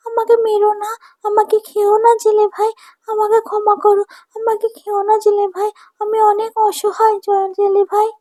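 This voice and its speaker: A woman voicing a small, helpless talking mother fish in a cartoon, imploring and gentle in her words. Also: very high-pitched, monotone, moderate pace, no room sound, studio quality. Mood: begging, frightened. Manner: imploring, repetitive begging. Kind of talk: cartoon dubbing. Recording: music under the voice.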